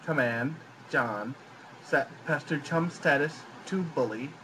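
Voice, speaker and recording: A man playing a solemn game command announcer, speaking slowly and deliberately on a noisy amateur recording. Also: medium pitch, lightly acted. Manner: slow, deliberate, declarative.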